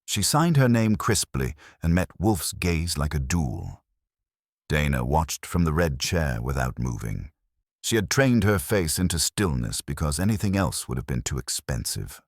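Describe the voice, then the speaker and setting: A man narrating a scripted detective story as an audiobook reader, with wry, deliberate phrasing. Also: rumbling low, big pitch swings, no echo, studio quality.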